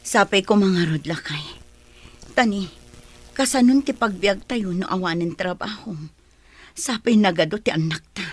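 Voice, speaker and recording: A woman's voice: medium pitch, moderate pace, long pauses, close to an everyday voice. A woman with an Ilocano Filipino accent playing a stern, practical village mother in a comedy drama. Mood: worried.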